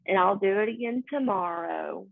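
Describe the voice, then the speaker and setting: A young adult woman reading a children's picture book aloud in a sing-song, deliberate way, recorded indoors. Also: mid-range voice, creaky. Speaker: story reader. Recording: phone-like, narrow-band sound.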